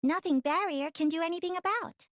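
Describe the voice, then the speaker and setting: Little artificial girl for a game: extremely high, clipped, sweetly factual, dry. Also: fairly quick, no room sound. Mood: matter-of-fact. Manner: clipped grammar, third-person speech. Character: small artificial robot girl, sweetly matter-of-fact. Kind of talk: voiced game cutscene acting.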